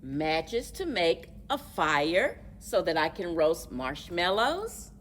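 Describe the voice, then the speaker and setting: A woman reading a children's story aloud in a deliberate, expressive way for young listeners, as a story time host. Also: fairly low pitch, recorded from a distance, slight background noise.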